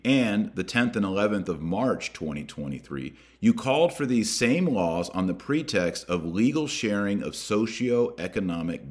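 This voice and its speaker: A man hosting a podcast, reading a document aloud with emphatic, deliberate delivery and adding his own commentary. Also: on the low side. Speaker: podcast host.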